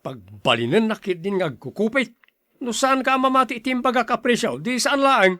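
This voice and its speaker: A man's voice: very high-pitched, lively intonation, normal speed, pushed and bright, no room sound, clean studio sound, heavily acted. A man acting an irritable Ilocano older brother. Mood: angry, scolding. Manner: scolding, blunt, complaining. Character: irritable, fault-finding older brother and shopkeeper. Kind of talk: radio-style family drama acting.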